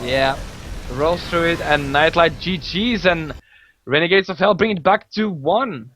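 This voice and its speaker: A man from Belgium, not a native English speaker, casting a live esports match in a relaxed, chatty way with many fillers. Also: fairly high pitch, a little slow, slight background noise. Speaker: esports caster. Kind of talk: live game commentary. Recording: dull lo-fi stream audio, faint music.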